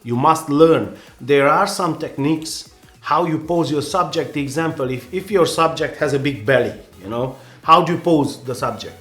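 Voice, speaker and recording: A man's voice: on the high side, normal speed, fairly bright tone, reverberant, soft background music. A man with a Hungarian accent, a native Hungarian speaker, giving earnest, rambling photography advice with many restarts and "you know"s in a casual vlog.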